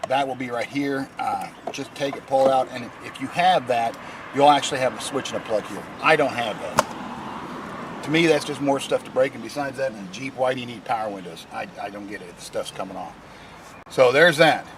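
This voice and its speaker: A man's voice: mid-range voice, brisk, noisy background. A man giving a folksy, informal hands-on tutorial in a casual, reassuring way while working in a vehicle. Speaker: DIY vlogger demonstrating a car repair. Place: inside or beside a Jeep.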